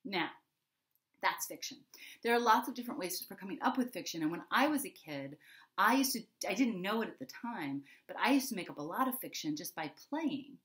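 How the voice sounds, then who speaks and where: A woman's voice: mid-range voice, expressive, brisk, fairly bright tone. A middle-aged American woman, a children's author, explaining things to young children in a simple, conversational teaching manner at home. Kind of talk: explaining to young children before a story reading. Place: home office, indoors. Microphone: computer webcam microphone at desk distance.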